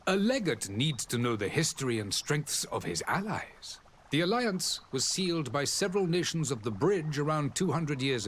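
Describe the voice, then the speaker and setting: An actor playing a dignified, courteous diplomat in a role-playing game, speaking formally and eloquently. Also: male voice, on the high side, fairly bright tone, a little hiss or hum. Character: dignified male diplomat of a foreign alliance, proud and courteous.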